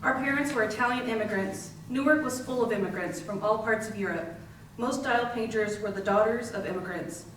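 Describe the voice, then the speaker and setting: A woman's voice: on the high side, a little fast, close to an everyday voice. Woman acting young factory worker, very echoey. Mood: reflective. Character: young immigrants' daughter, dial painter recalling shared work. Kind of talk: readers theater monologue. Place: library readers theater performance, distant microphone. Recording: very echoey, distant mic, faint noise.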